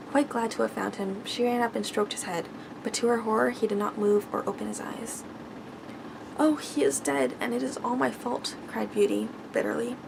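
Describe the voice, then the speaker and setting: A woman reads a bedtime story as soft-spoken ASMR, with a creaky, fry-edged voice at a medium pitch. She stumbles a little over the written words. There is clear background noise on the recording.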